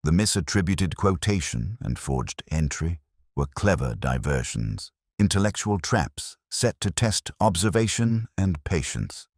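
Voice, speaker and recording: Very deep-voiced man narrating a detective story. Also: big pitch swings, no echo, clean studio sound. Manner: measured, contemplative, formal. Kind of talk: scripted literary first-person narration.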